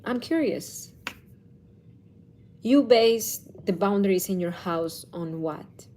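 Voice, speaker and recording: Slow-talking woman parenting coach. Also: medium pitch, dark tone, slight background noise. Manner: slow, thoughtful, with restarts and self-corrections. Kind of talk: casual talk to camera.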